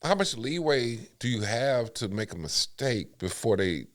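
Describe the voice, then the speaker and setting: A man's voice: mid-range voice, animated, measured pace, slight background noise. A man co-hosting a podcast in casual chat, blunt, joking and profane. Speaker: podcast co-host.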